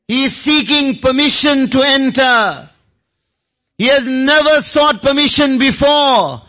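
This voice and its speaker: A man's voice: very high-pitched, pushed and bright. A man preaching an impassioned Islamic sermon, emotional in delivery and repeating phrases for rhetorical emphasis.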